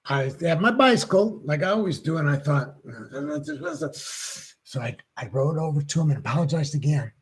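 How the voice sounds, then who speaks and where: Guy in his sixties, kinda husky, rambles and restarts a lot while telling stories. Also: fairly high pitch, animated. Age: 60s. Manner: rambling storyteller, halting restarts. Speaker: show host. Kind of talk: casual chat.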